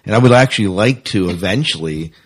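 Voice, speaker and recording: A man with a deep, somewhat raspy voice co-hosts a fan podcast. He talks in a loose, chatty way, drifting into asides as he addresses the listeners. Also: animated, a little fast, low-quality recording. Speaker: podcast co-host. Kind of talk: casual sci-fi fan podcast chat.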